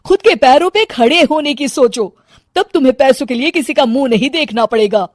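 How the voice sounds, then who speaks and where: Woman acting a bold, high-voiced young housewife. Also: lively intonation, brisk, no echo, clean studio sound. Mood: determined. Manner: emphatic, urging. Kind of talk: cartoon moral-story acting.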